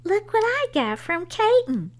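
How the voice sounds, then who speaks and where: A woman voicing an excitable young boy in a storybook, exclaiming eagerly. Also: very high-pitched, measured pace, pitched above the performer's own voice, heavily acted. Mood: thrilled, shouting. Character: excitable young boy thrilled by good news.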